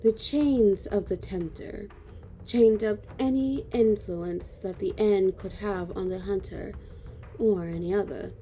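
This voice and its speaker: A woman plays a stern, superior judge in a fantasy audio drama. Her mid-range voice is very dark and soft-edged, with slight noise behind it. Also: medium pitch, slow, natural voice. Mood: cold.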